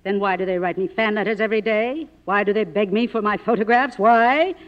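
A woman's voice: mid-range voice, a little fast, natural voice. A woman playing an imperious, vain and volatile faded film star, with grand theatrical delivery in a 1950s radio drama. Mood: proud, indignant. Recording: muffled old radio broadcast, reverberant.

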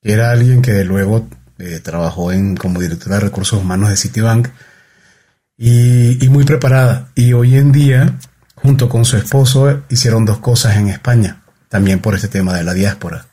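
A male podcast host with a dark, mellow tone and a slightly husky edge. He reads his guest's introduction in a measured, formal way, then moves into easy conversation. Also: lowish voice. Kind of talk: reading an introduction, then chatting.

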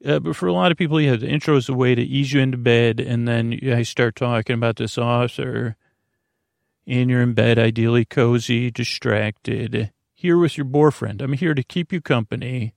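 A man hosting a sleep podcast in a gravelly, creaky voice, with a meandering, halting, whimsical way of talking that keeps interrupting itself. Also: mid-range voice, no echo. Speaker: podcast host. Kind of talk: rambling bedtime sleep-podcast monologue.

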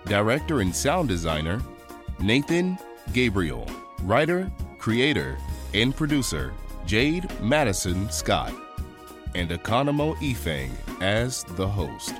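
A man's voice: on the low side, sing-song, slow pace. A man announcing scripted credits for an audio drama. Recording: prominent music behind the voice.

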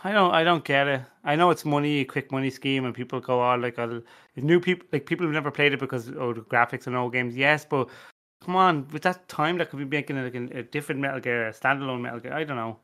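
A man's voice: mid-range voice, fast talker, sharp tone. A man chatting casually as a podcast panelist, rambling and stammering through many restarts. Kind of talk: casual chat.

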